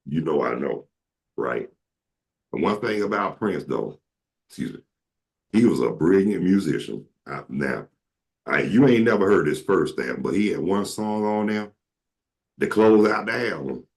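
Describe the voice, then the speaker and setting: Very deep, gravelly man. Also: sing-song, unhurried, pauses often, a little mellow. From Atlanta, Georgia. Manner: joking, slips into comic impressions. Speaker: show host. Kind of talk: casual storytelling chat.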